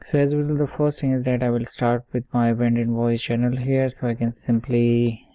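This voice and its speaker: A man's voice: medium pitch, level tone, moderate pace, dark tone, no room sound. A man giving an informal step-by-step screen-share coding tutorial, often checking in with short tags and muttering words as he types. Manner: explains step by step, often checking with "okay" and "right". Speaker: software tutorial instructor.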